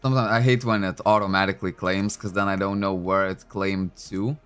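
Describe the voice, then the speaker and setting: Chatty man, Lithuanian native, lowish voice. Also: flat delivery, fairly quick, fairly bright tone, smooth, soft background music. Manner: chatty, thinking aloud with many fillers. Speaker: game streamer. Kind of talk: casual livestream commentary. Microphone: desk microphone.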